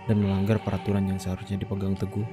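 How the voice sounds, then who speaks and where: A man acting as a solemn fallen angel warrior in a fantasy game roleplay. The voice is low, with clear music behind it. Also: completely flat delivery, rapid-fire, natural voice. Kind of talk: dramatic roleplay storytelling.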